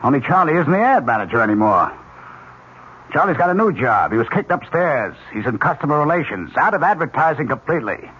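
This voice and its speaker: A man's voice: fairly high pitch, big pitch swings, brisk, a bit bright, slightly rough, exaggerated voice. A man playing an anxious, defensive American junior partner at an advertising agency in a radio drama. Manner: defensive, pleading. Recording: muffled old radio, faint noise.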